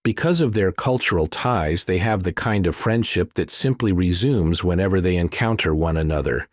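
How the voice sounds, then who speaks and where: A man's voice: low voice, moderate pace, dry recording, clean studio sound. A man narrating a scripted thriller audiobook.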